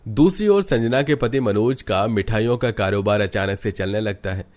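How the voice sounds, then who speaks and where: Low-pitched man narrating a story. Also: normal speed, a little mellow. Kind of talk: scripted narration of a moral cartoon story.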